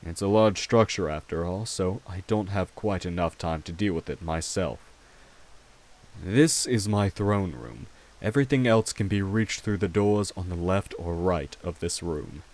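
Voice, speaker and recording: A man voicing a courtly, protective vampire king in an ASMR roleplay, gentle and wry, speaking formally and reassuringly to the listener without hurry. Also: low voice.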